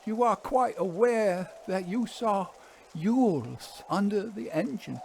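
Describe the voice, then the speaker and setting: A man plays a haunted railway engine driver from a Victorian storybook. The voice is pushed well up in pitch. He is bitter, fatalistic and insistent, with rough working man's grammar. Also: lively intonation, takes it slowly, a little mellow, a little hiss or hum, exaggerated voice. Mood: agitated, bitter.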